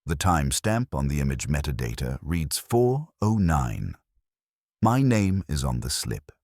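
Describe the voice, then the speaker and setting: A man's voice: very deep voice, sing-song, unhurried, darkish tone, no echo, studio quality, heavily acted. A man voicing a composed, defiant businesswoman client, precise and firm, in a mystery audiobook. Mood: tense, factual.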